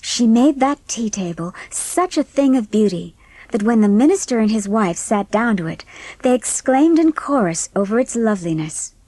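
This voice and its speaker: A woman's voice: on the high side, animated, normal speed, darkish tone, dry recording, low-quality recording. A woman reading scripted storybook narration as the narrator of a dramatised audiobook.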